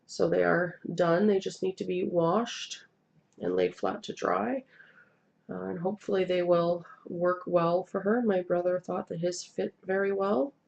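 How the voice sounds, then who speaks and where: A woman's voice: on the low side, a little slow, vocal fry, a little mellow, muffled recording. A woman in her thirties hosting a knitting video podcast from her couch, talking casually with lots of ums, sniffly from a cold. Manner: rambling, hesitant with many ums, self-deprecating. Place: living room, seated on a couch indoors. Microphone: webcam at desk distance.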